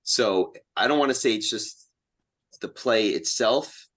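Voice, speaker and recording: A man's voice: lowish voice, unhurried, fairly bright tone. A man speaking as a playwright guest in a casual conversation, with a self-deprecating way of talking. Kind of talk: casual conversation about writing plays.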